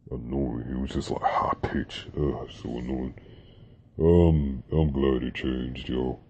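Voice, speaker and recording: Very deep, dark-voiced man. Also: slightly rough. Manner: relaxed, rambling, with fillers and slang. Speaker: vlogger. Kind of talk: casual talk to camera about deep voices. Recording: dull lo-fi sound.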